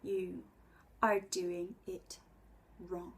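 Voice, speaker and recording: Young woman poet, slow n deliberate, breathy w a creaky edge, reading her poem. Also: lowish voice, level tone. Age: 20s. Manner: measured, intimate, deliberate dramatic phrasing. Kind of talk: spoken word poetry recital.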